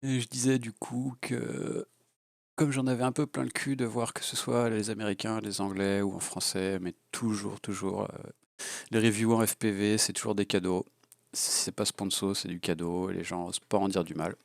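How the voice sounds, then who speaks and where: A man's voice: lowish voice, little pitch movement, normal speed, gravelly, dry recording, studio quality. A man with a cold, rambling bluntly and crudely through a casual drone gear review, with lots of fillers. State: has a cold. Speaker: FPV drone hobbyist reviewer. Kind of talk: casual unscripted product review. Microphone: low-level home mic.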